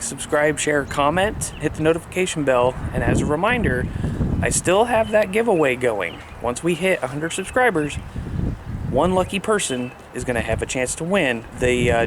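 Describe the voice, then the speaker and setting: A man's voice: medium pitch, animated, moderate pace, background noise. A man talking casually and plainly to viewers as he explains his hobby setup in a homemade vlog. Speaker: hobbyist vlogger showing his CB radio setup.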